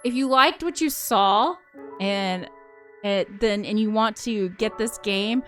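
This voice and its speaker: A woman's voice: mid-range voice, slow pace, a bit bright. Casual woman game host over music. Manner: informal, says y'all. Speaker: host of a game playthrough channel. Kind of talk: casual chat.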